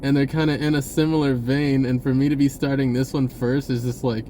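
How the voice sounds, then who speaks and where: A man talking casually and off the cuff to viewers, halting and often repeating his words. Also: mid-range voice, flat delivery, mellow, a little hiss or hum. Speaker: spiritual video blogger. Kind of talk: casual unscripted monologue to viewers.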